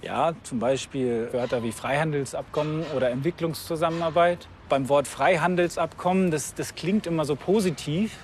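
Guy answering questions outside in town, bit high voice, some traffic noise. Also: moderate pace, few pauses. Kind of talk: interview answers.

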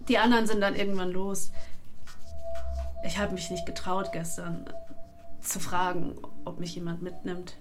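A woman's voice: fairly low pitch, little pitch movement, moderate pace, long pauses, music in the background, close to an everyday voice. Casual, confiding young homeless woman played by an actress. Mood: dreamy, confiding. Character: homeless young woman drifter, candid, trusting and needy. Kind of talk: naturalistic German radio drama dialogue.

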